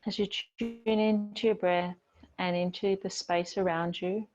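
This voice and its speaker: A woman yoga teacher giving gentle, unhurried guided relaxation and movement instructions in a soothing manner. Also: medium pitch, steady tone, muffled recording.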